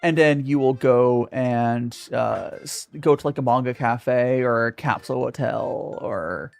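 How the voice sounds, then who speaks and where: A man's voice: mid-range voice, a little slow, vocal fry, a little mellow, faint music behind. A young man hosting a casual livestream Q&A, reading viewer questions aloud and answering with hesitant, stop-start phrasing full of restarts. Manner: hesitant, many restarts, reads chat aloud.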